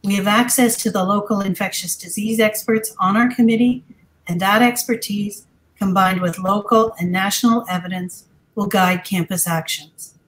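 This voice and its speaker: A woman, a dean, reading formal prepared remarks in a measured way at a virtual town hall. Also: mid-range voice, fairly even intonation. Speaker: dean and committee chair.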